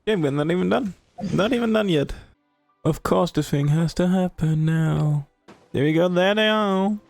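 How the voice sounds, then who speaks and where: A man giving casual, rambling gameplay commentary, halting and repeating himself as he goes. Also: high voice, creaky, a little mellow, dry recording, faint music behind. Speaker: video game player, commentator.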